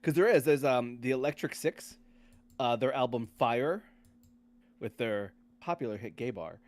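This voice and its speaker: A male podcast host talks in a casual, conversational way. His voice sits at a medium pitch. He tends to restart and rephrase his thoughts as he goes. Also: moderate pace, a little mellow. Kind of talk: casual chat.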